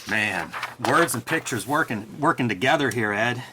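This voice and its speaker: A man's voice: medium pitch, animated, moderate pace, background noise. A man co-hosting a comics show, giving casual, enthusiastic and appreciative commentary in a conversational way. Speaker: co-host of a comics review show.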